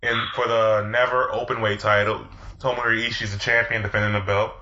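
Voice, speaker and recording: A man with a fairly low, forceful, bright voice talks casually and swears freely. He recounts the action with enthusiasm. The audio sounds dull and lo-fi. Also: level tone, fairly quick. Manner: profane, enthusiastic play-by-play recounting. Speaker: podcast co-host. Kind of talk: casual chat recapping wrestling.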